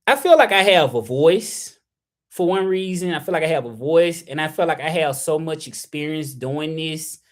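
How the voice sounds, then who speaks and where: Rambling Southern man on a livestream. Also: mid-range voice. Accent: Southern US (Memphis). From Memphis, Tennessee, US South. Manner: loose and rambling, with many fillers, "like" and "you know". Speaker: freelance interpreter hosting a livestream. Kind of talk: casual livestream talk.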